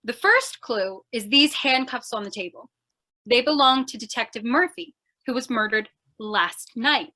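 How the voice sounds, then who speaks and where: Woman playing a high-voiced, flustered lady reporter. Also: measured pace, sharp tone. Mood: eager. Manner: flustered, eager, theatrical. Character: plucky, scatterbrained young woman newspaper reporter. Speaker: voice actor. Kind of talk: old-time radio comedy-mystery acting.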